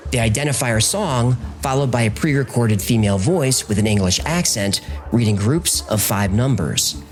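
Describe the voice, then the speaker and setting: A man delivers scripted documentary narration for a podcast. His mid-range voice moves with lively, varied intonation, and soft music plays underneath. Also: mid-range voice.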